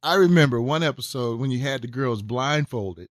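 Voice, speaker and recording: A man's voice: mid-range voice, moderate pace, dry recording, clean studio sound. A male radio host interviewing a guest, enthusiastic and prone to stammered restarts.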